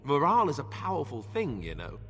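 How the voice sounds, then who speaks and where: A pragmatic companion in a fantasy video game. His voice is fairly high and swings in a lively way, informal and coaxing. A reverberant hall surrounds it. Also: a little fast, faint music behind, heavily acted.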